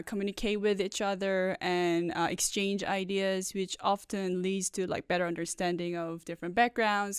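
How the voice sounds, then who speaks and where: A woman in her thirties from South Korea, Korean her first language, speaks in a smooth, resonant voice close to a dry studio mic. She explains her ideas in a measured way, pausing often on "uh" and "like". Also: mid-range voice, no room sound. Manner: thoughtful, many "uh" and "like" fillers. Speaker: guest professor. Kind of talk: podcast interview.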